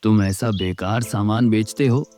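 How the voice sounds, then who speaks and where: A man's voice: on the low side, a little slow, mellow, slight background noise, close to an everyday voice. A man voicing a scornful village customer, scolding, in a children's cartoon. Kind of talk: Hindi children's moral-story cartoon dub.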